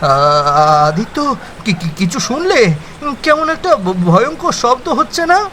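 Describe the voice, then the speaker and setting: A man voicing a timid, jumpy young village man in an animated horror story, stammering and quick to panic, over music and rain. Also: very high-pitched, slight background noise, exaggerated voice. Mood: frightened.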